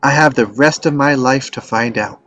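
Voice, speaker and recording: A man's voice: mid-range voice, normal speed, a bit bright, dry recording, faint music behind, close to an everyday voice. A man playing an earnest, lovestruck and stubborn young romantic in a screenplay table read, speaking sincerely and wistfully.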